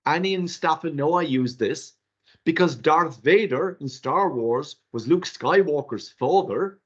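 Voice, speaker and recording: Husky older Irish man giving an animated webinar lecture. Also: a little high, unhurried. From Ireland. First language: Irish Gaelic. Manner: animated, rhetorical, with theatrical asides. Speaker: workshop presenter. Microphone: video call. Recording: dull lo-fi webinar audio.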